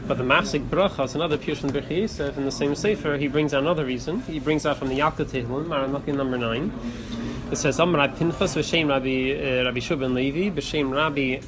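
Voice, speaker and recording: A man leading a religious study class, haltingly reading and explaining texts, repeating words as he goes in informal discussion. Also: fairly high pitch. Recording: noisy room recording.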